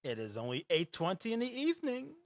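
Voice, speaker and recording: A man hosting a casual live podcast, chatting and reading quiz questions in a rambling way and laughing often. He has a booming radio-style voice. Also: huge pitch swings, fairly quick, heavy background noise. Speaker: podcast host.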